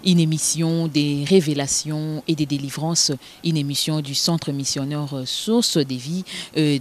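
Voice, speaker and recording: A woman, hosting a formal television interview in a hesitant way, with many filler pauses. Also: sits low, a little mellow. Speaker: TV show host.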